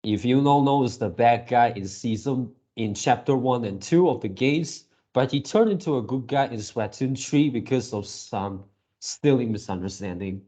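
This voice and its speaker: A man's voice: mid-range voice, normal speed, dark tone. A man giving casual reaction commentary as a video creator, rambling like an enthusiastic fan.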